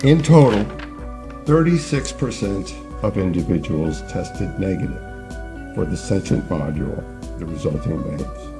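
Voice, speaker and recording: A man's voice: low voice, animated, a little slow, creaky, music in the background, heavily acted. A man acting as an earnest, stubborn, idealistic neuroscientist in an audio drama. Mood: measured, formal. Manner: earnest, insistent.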